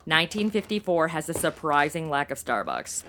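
A woman's voice: low-pitched, very animated, normal speed, a bit bright, a little hiss or hum. A woman voicing a brash modern young woman stuck in the 1950s, in a comedy radio play, with a theatrical voice that swoops all over. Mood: snarky.

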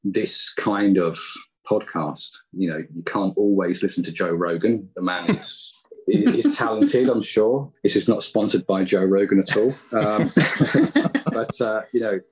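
A man chats casually as a guest on a podcast. His voice is low and rough-edged, with an easy conversational flow. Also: measured pace, darkish tone, poor audio. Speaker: podcast guest, indie audio drama creator. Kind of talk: casual interview chat.

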